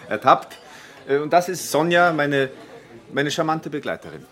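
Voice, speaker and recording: An actor playing a charming, confident young engineer and boss in a radio play, brisk and jovial. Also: male voice, fairly high pitch, expressive. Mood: jovial, polite.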